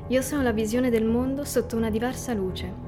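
A woman playing the Dark itself, speaking in solemn, poetic riddles in a scripted radio sketch. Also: fairly high pitch, fairly quick, natural voice. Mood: solemn, mysterious. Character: the Dark personified, enigmatic, calm, poetic. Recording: music under the voice.

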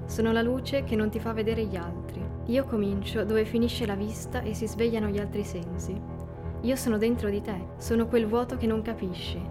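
A woman playing the Dark itself, speaking in solemn, poetic riddles in a scripted radio sketch. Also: on the high side, moderate pace, close to an everyday voice. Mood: solemn, mysterious. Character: the Dark personified, enigmatic, calm, poetic. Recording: music under the voice.

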